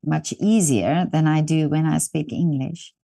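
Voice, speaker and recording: A woman's voice: deep voice, a little slow, creaky. A woman from South Africa, an Afrikaans native speaker with a South African accent, hosting an interview and talking in an encouraging, rambling way. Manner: warm, encouraging, rambling with restarts. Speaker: interview host. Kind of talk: interview conversation.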